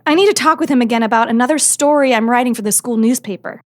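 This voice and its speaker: A woman's voice: fairly high pitch, fast, lightly acted. A woman playing an inquisitive, determined teenage girl reporter in an audio drama. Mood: insistent. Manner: hesitant when fibbing, insistent when pressing.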